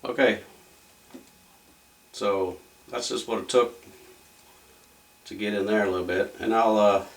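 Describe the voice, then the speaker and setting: A man's voice: sits low, fairly bright tone, slight background noise. A man hosting a casual repair video, talking through the job step by step in a plain-spoken way. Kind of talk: casual hands-on repair walkthrough.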